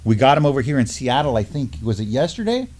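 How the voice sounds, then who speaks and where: A middle-aged man co-hosts a live news show from a desk in a small studio. He talks in a relaxed, casual way, with frequent fillers and self-corrections, at an everyday pitch. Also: quick pace. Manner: relaxed, many fillers and restarts. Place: studio with mottled backdrop, at a desk.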